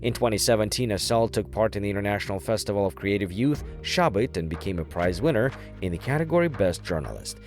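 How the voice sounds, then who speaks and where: Deep-voiced male documentary narrator over music. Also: animated, fast, few pauses, darkish tone. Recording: music behind the voice. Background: background music.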